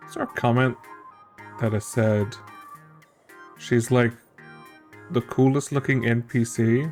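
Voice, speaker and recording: A streamer giving casual game playthrough commentary, musing and thinking out loud. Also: medium pitch, steady tone, takes it slowly, faint music behind.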